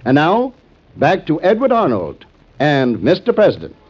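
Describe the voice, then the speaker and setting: A man's voice: a little high, huge pitch swings, normal speed, a little mellow, breathy edge, soft background music. An American man reading a scripted public service announcement as a 1940s radio announcer. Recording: dull old radio recording.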